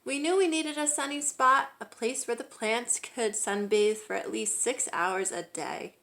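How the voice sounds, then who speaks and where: Expressive woman reading a children's storybook. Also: a little high, measured pace, creaky. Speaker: read-aloud storyteller. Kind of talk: children's picture book read-aloud.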